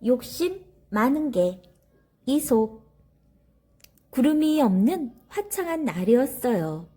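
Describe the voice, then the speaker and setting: A woman storyteller reads for children with a high, lively voice that swings widely in pitch. Her tone is soft-edged and mellow, and she explains things like a teacher speaking straight to her young listeners. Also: slow, slightly rough. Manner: didactic, addressing children directly. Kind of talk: children's story reading with commentary.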